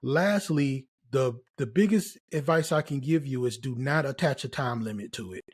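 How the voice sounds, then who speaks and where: A man's voice: mid-range voice, normal speed, breathy edge. A middle-aged American man speaking casually and encouragingly as a host and mentor, emphatic and given to repeating key phrases. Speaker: host, nursing career mentor. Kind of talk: casual motivational advice to camera.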